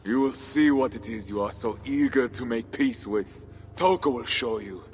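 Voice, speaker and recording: An actor playing a proud, vengeful Viking chieftain in a video game cutscene, speaking in commanding, angry outbursts. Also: male voice, medium pitch, moderate pace, darkish tone, faint music behind, natural voice. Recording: game audio in a reverberant space.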